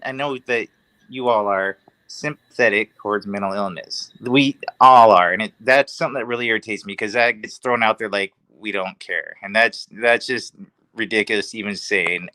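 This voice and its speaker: A man's voice: on the low side, moderate pace, bright, forceful tone, no room sound, rough recording. A man speaking as a panelist in a casual discussion, giving his opinions emphatically.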